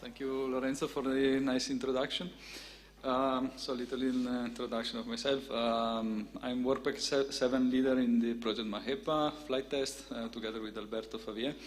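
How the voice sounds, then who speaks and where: A man presenting a conference talk, speaking haltingly with many fillers and restarts. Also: medium pitch, flat delivery, long reverb tail, slight background noise.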